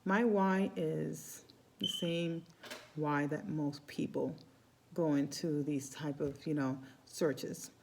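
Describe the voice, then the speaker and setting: A woman's voice: low voice, fairly even intonation, slow pace, vocal fry, darkish tone, a little hiss or hum. A middle-aged woman from Cuba who is a Santeria priestess, talking in a casual, rambling way on her vlog and often restarting her phrases. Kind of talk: casual spiritual vlog talk.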